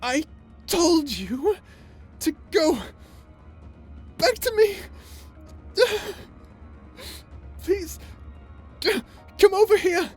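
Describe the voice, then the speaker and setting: A woman playing an unhinged, vengeful, lovesick and tormented space villain in an ASMR roleplay drama, speaking in a theatrical, halting way. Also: very high voice, expressive, darkish tone, soft background music, full character voice. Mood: anguished pleading. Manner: theatrical, halting, swinging between tender menace and anguish.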